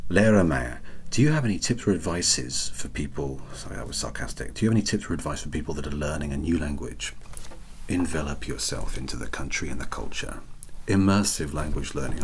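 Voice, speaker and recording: A soft-spoken man, reading out questions and answering them in a wry, self-deprecating way. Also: rumbling low, a little hiss or hum. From Wales. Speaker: ASMR channel host.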